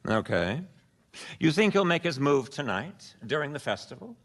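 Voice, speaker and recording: A man's voice: a little high, very animated, moderate pace, fairly bright tone, exaggerated voice. An actor playing a naive, nervous married man in a radio mystery, hesitant, defensive and anxious to comply. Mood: flustered, compliant. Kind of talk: radio detective drama acting.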